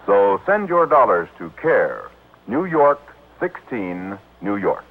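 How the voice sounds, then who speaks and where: An American man reading a scripted charity appeal as a 1950s radio announcer, measured and polished. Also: on the low side, big pitch swings. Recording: narrow-band old radio recording.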